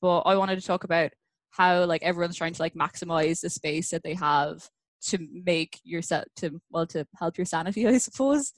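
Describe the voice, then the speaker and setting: An Irish woman guesting on a podcast, chatting in an animated, storytelling way with lots of filler words. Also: lowish voice, moderate pace, no echo, studio quality. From Ireland. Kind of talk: casual chat.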